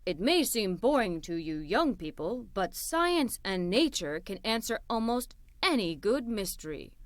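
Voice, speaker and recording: A woman's voice: medium pitch, big pitch swings, a little slow, no room sound, clean studio sound, pitched below the performer's own voice. A woman voicing a friendly, bookish bearded man who loves nature, in a children's storybook. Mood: gentle, friendly.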